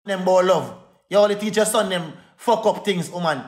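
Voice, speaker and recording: A man with a Jamaican Patois accent ranting angrily on a phone livestream, repeating insults over and over. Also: high voice. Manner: repetitive, insulting, fast heated rant. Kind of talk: angry livestream rant.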